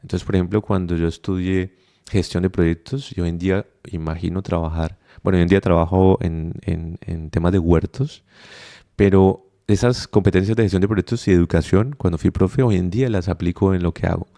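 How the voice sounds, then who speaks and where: A man's voice: sits low, steady tone, fairly quick, warm tone. A young adult man with a Colombian accent who is a guest on a podcast, speaking reflectively and thinking aloud with many fillers and restarts. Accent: Colombian Spanish. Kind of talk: conversational interview.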